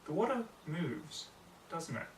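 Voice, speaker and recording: A man voicing a patient, serious female pony who teaches magic, in a measured, coaxing way. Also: fairly high pitch, very animated, voice pushed up. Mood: soft, coaxing. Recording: roomy, distant mic, faint noise.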